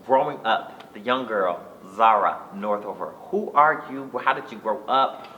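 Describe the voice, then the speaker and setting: A man's voice: on the low side, animated, measured pace, bright, forceful tone, dull audio, a little hiss or hum. A man hosting an interview, playful and affirming, prompting his guest with lots of encouraging backchannel. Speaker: interviewer host. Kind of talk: casual interview. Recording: echoey room.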